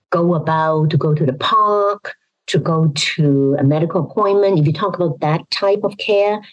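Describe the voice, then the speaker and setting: A woman's voice: low-pitched, rough recording. A woman explaining her views as an expert interview guest, opinionated and emphatic, often posing rhetorical questions. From Hong Kong. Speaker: expert guest, health policy professor. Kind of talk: interview answers, explanatory talk.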